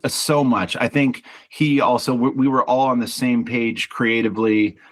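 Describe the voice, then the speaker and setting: A man with a baritone voice talking about his band's album in a casual interview, reflective and chatty, often restarting with small repeats. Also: fairly even intonation, moderate pace. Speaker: band singer and songwriter.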